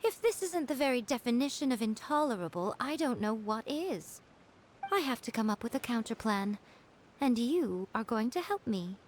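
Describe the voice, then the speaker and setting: Woman doing a haughty teasing fox spirit lady, poised game character, highish voice, bit of background noise. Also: animated, moderate pace, lightly acted. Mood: indignant. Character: sly, haughty, self-assured female fox-spirit shrine maiden and publisher. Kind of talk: video game voice acting.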